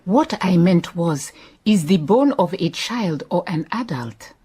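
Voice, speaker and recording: A woman's voice: fairly low pitch, lively intonation, dark tone, husky, full character voice. An actress playing a stubborn lady private detective in a radio drama, speaking formally and unhurriedly with a Southern African accent. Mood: determined. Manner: unhurried, formal, firm phrasing.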